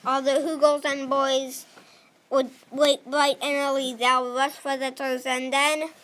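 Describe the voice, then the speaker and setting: High child voice reading a script. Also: level tone, a little slow, sharp tone. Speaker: narrator in a class play. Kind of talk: scripted reader's theatre narration.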